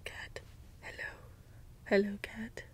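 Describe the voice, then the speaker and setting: A woman playing a gentle, puzzled caretaker robot in a soft, breathy ASMR role-play whisper, with halting, deliberate delivery. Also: natural voice. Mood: gentle, curious. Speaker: ASMR performer. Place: small dim indoor space with cloth backdrop. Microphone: close ASMR microphone.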